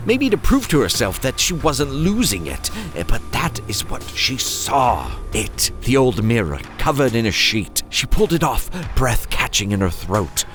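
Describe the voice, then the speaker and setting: A man hosting and narrating a horror story in a theatrical, suspenseful style, with playful asides and dramatic pauses. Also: mid-range voice.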